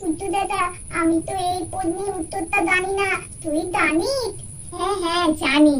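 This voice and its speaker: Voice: very high voice, moderate pace, background noise. A performer voicing an eager young schoolchild doll in a puppet story, with a sing-song childlike delivery. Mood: unsure, whispering aside. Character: eager young schoolchild dolls answering quiz questions.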